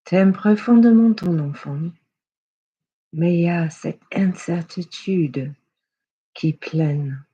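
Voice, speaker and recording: A person guiding a yoga nidra relaxation in a hushed, soothing, unhurried way with long pauses. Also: medium pitch, breathy edge, lo-fi sound. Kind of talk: guided relaxation meditation.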